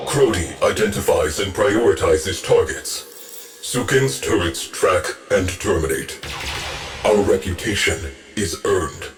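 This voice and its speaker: An actor playing a cold, mournful male ship AI who speaks in terse, clipped reports. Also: low-pitched. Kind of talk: game voice acting.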